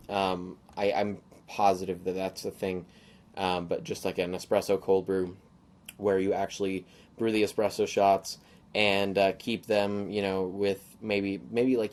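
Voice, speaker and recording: A young man hosting a solo podcast, rambling casually with lots of fillers and restarts, his voice a little hoarse from a sore throat. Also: low voice, a little mellow. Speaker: podcast host.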